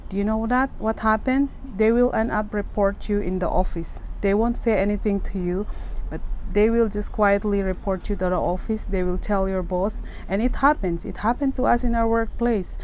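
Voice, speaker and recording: A woman's voice: medium pitch, a little mellow, poor audio. Filipino-accented woman in her middle years, earnest vlog advice, faint hiss behind. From Philippines. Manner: earnest, repeats herself, keeps asking "okay?". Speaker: vlogger giving advice. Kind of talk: casual advice talk to camera.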